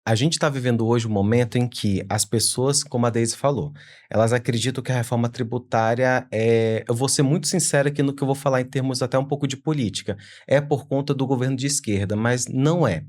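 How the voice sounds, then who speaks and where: A man's voice: medium pitch, fairly even intonation, fairly quick, a little mellow. A young adult man from Manaus, a lawyer, explaining carefully as a guest on a podcast interview. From Manaus, Amazonas, Brazil.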